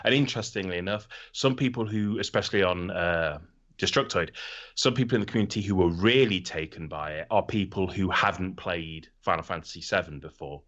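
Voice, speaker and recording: Deep-voiced man, casual gaming podcast host. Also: brisk, fairly bright tone, highs cut off. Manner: casually sweary. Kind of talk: casual podcast chat about video games.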